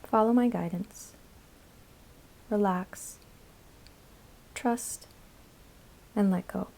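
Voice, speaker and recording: A woman guiding a yoga nidra meditation in a calm, soothing, instructional manner, with measured phrases and pauses. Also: medium pitch, gravelly. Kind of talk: guided meditation narration.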